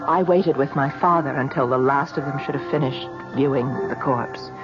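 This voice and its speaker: An actor playing a haunted grown son in a radio drama, brooding and deliberate, speaking in literary phrases. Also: male voice, medium pitch, natural voice. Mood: grim, haunted. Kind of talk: 1970s radio drama monologue. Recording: dull lo-fi radio recording, music behind.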